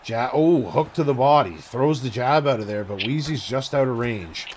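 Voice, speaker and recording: Man, fight commentator, rapid blow-by-blow calls, mid pitch, faint background hiss. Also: lively intonation, a little mellow. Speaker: play-by-play commentator.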